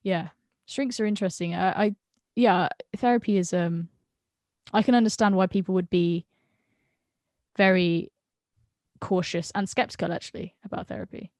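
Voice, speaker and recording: A woman from the UK speaks on a video call with a slightly low voice. She talks frankly and with energy, and her words tumble and restart. The sound is dry and close. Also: normal speed, long pauses, no echo. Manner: frank and animated, stumbling over words. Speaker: guest friend. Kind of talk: casual video-call chat.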